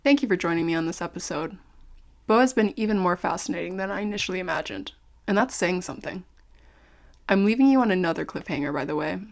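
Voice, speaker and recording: An actress playing a curious, courteous investigative podcaster in an audio drama, speaking conversationally. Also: female voice, lowish voice, expressive, fast talker, creaky. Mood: confiding. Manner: courteous, conversational, addresses listeners directly. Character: curious, polite female investigative podcaster among zombie fighters.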